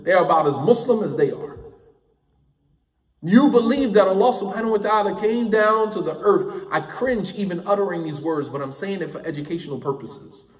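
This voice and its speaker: A high-pitched man gives a fervent class lecture, hammering points home by saying them twice. His voice carries through a roomy hall with a long ring of reverb, picked up from some distance off the mic. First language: English. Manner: emphatic, impassioned, repeats points for stress. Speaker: Islamic studies teacher.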